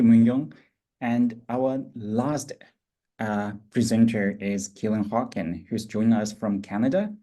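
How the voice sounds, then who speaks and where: A man's voice: on the low side, warm tone, slightly rough. A man moderating an academic roundtable, reading out a formal introduction of a speaker.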